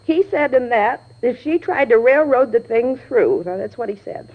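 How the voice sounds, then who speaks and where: A shaken, talkative cleaning woman in a vintage radio play. Her voice is fairly high and crackles with fry. She rambles on in folksy, confiding fashion through a slightly dull old recording. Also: animated, normal speed. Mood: confiding, nervous. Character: chatty, shaken night cleaning woman, gossipy and nervous. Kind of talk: old-time radio drama acting.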